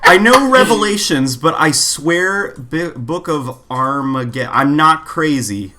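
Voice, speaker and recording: A man co-hosting a casual podcast, rambling through jokey, animated stories and asides. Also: on the high side, unhurried, a crowd in the background. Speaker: podcast co-host.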